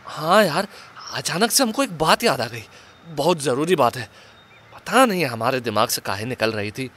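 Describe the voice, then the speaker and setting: A man's voice: fairly high pitch, big pitch swings, moderate pace, fairly bright tone. A man playing a crude, wisecracking sidekick in a Hindi horror-comedy audio drama, with a colloquial eastern Hindi belt accent and a teasing, foul-mouthed manner. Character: Prasad, crude wisecracking goofy sidekick to a ghost investigator.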